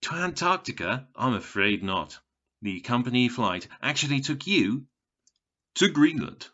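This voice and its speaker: An actor playing a smug, taunting television game show announcer with glib, theatrical, condescending patter in an audio drama. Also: male voice, mid-range voice, sing-song, unhurried, pushed and bright, highs cut off, exaggerated voice. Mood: slick, teasing.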